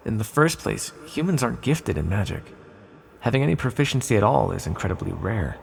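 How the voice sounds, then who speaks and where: A man playing an ancient sealed villain, a calm and tender magical being who speaks in a measured, formal, reassuring way in an ASMR roleplay. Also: fairly low pitch, vocal fry, a little hiss or hum. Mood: amazed, admiring. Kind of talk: ASMR roleplay, scripted monologue to the listener.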